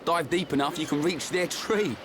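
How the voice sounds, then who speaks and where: An actor playing a brash, skeptical young fighter in a video game, blunt and grumbling. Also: male voice, fairly high pitch, moderate pace, noisy background, close to an everyday voice. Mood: skeptical, uneasy. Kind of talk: video game cutscene acting.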